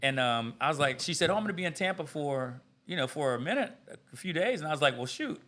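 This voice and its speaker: A man with a bright, forceful voice pitched a little above the usual, co-hosting a casual podcast. He tells stories in an animated way and jokes with his co-hosts. Each line comes out with punch. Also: fast. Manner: animated storyteller, joking and laughing. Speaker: podcast co-host. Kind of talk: casual chat.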